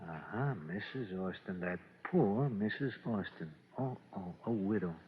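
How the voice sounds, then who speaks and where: A man's voice: lowish voice, expressive, a little slow, a little hiss or hum. A man playing a slick, sardonic gambler in an old radio crime drama, with a mocking, insinuating way of talking. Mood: mock sympathy. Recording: dull old radio broadcast recording.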